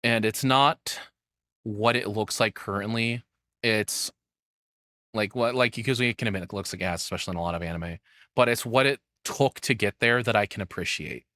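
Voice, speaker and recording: A man's voice: on the low side, moderate pace, pushed and bright, no room sound. A man giving casual, opinionated commentary, rambling and swearing bluntly as he goes. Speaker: streamer reacting to a video.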